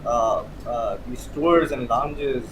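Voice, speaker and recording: A man in his thirties with a Pakistani accent and a mid-pitched voice, speaking as a guest in a casual interview. He explains things conversationally, repeating and rewording as he goes, with clearly audible noise in the background. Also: slow pace. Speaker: interview guest, cigar hobbyist.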